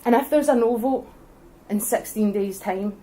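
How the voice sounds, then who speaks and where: A woman's voice: mid-range voice, normal speed, poor audio. Earnest Scottish woman giving a debate speech. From Scotland. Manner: earnest, forceful, building arguments point by point. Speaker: debate speaker for independence.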